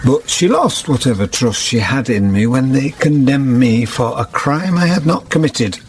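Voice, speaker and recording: An actor playing a solemn, wounded middle-aged former hermit, speaking gravely and reflectively in a period radio drama. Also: male voice, mid-range voice, animated, normal speed, slight background noise, lightly acted. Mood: bitter. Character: solemn, wounded older weaver, once a hermit.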